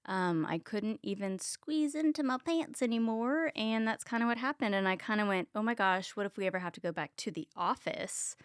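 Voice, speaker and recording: A woman's voice: mid-range voice, normal speed, hardly any pauses, clear, resonant tone, dry recording, studio quality. A Texan woman in her thirties, chatting casually as a health coach guest, with lots of ums. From Texas. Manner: chatty, many ums, laughs at herself. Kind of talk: casual interview chat.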